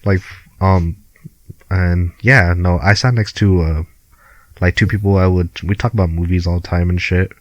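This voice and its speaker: A man's voice: deep voice, fairly even intonation, normal speed, no room sound, lo-fi sound. A young man with a Filipino accent co-hosting a podcast over a remote call, laid-back and laughing often as he swears casually. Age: young adult. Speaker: podcast co-host. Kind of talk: casual chat.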